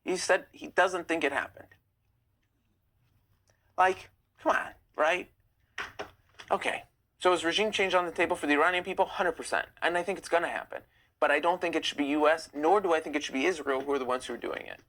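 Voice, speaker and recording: A young man hosting a live stream, chatting casually and reading viewer comments, opinionated and quick to get heated. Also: fairly bright tone. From United States. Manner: chatty, opinionated, gets heated and rises in pitch.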